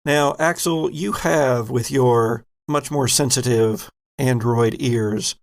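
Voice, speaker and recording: A man narrating a tabletop horror game as game master, slow and measured. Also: medium pitch, no echo, studio quality.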